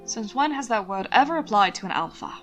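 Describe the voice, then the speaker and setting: A witty young man from a Regency novel voiced by a woman. He sounds arch and wry with a sour edge, while music plays low. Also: animated, fast, lo-fi sound, lightly acted.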